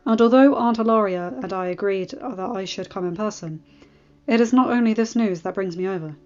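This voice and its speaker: A woman's voice: medium pitch, a little fast, darkish tone, close to an everyday voice. A woman voicing a polite, earnest young nobleman making a formal request. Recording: dull audio with faint music.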